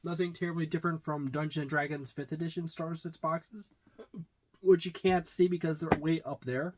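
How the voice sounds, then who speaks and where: A middle-aged man giving a casual, halting, matter-of-fact unboxing and review, recorded in a small room. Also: on the high side, fairly even intonation, background noise. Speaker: tabletop game reviewer. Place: small indoor room with packed shelves.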